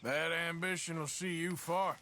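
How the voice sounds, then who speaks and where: A man playing a gruff, sardonic American outlaw cowboy in a video game, drawling, dry and blunt. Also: on the high side, fairly even intonation, measured pace, pushed and bright, a little hiss or hum. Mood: dry, casual.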